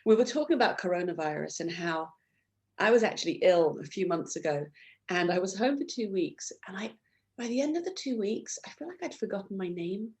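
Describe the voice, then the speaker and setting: A woman's voice: medium pitch, animated, a little fast, darkish tone. A woman hosting an education interview, enthusiastic and fond of tag questions. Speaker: podcast host. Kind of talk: conversational interview.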